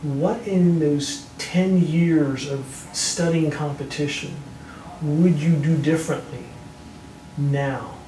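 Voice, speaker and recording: Interviewer guy, short direct questions, kinda high voice, roomy echo + faint noise. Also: steady tone, takes it slowly, dark tone, distant mic. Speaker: interviewer, host.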